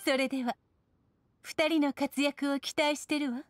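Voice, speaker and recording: A woman's voice: sits high, fairly even intonation, a little slow, a little hiss or hum. A woman voicing an elegant, authoritative noblewoman, formal and composed, in a Japanese anime game. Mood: poised, gracious. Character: elegant, poised, authoritative noblewoman serving as judge.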